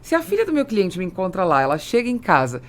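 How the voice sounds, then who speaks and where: A woman's voice: mid-range voice, very animated, fast. A woman with a Brazilian accent hosting a podcast in a conversational interview style, telling stories in an emphatic way. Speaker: podcast host.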